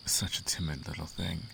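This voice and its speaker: A man's voice: low-pitched, background noise, full character voice. A man playing a suave, flirtatious, imperious demon prince in an intimate roleplay monologue, teasing and silky with grand formal phrasing. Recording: clear outdoor cricket ambience behind.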